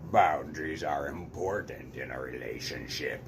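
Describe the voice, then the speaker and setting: A man's voice: very deep voice, lively intonation, moderate pace, noisy background, voice pushed down. A man voicing an anxious, grumpy, weary toad in a gloomy grumble, reading a storybook aloud. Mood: weary, annoyed.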